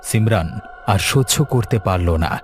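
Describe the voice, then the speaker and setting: A man's voice: on the low side, lively intonation, unhurried, a little hiss or hum. A man giving dramatic scripted narration for a cartoon ghost story.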